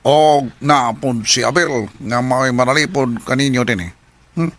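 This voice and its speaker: A man's voice: medium pitch, unhurried, a bit bright. A man playing a pushy employer in a Bisaya radio drama, clipped and insistent, with a Filipino accent. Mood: persuasive. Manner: clipped, insistent, mixes in English phrases. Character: smooth-talking, pushy male employer offering a job.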